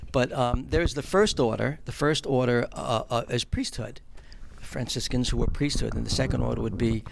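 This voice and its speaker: A man's voice: medium pitch, moderate pace, gravelly, a little hiss or hum. A middle-aged man with a New York accent, answering as an interview guest in a hesitant, reflective, plain-spoken conversational way. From Long Island, New York, United States. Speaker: guest, film producer and charity house director.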